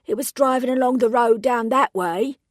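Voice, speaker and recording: A woman's voice: on the high side, moderate pace, no echo, studio quality, voice pushed up, lightly acted. Woman doing a fussy old London pixie lady. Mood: shaken. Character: elderly female pixie witness, frail and fussy.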